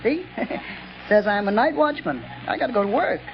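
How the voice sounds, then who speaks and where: A man's voice: high-pitched, expressive, moderate pace, gravelly. A giggling, stammering madman. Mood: sly. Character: cackling stammering night watchman, unhinged bomber. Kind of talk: 1930s radio drama acting. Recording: dull old radio recording with faint hiss.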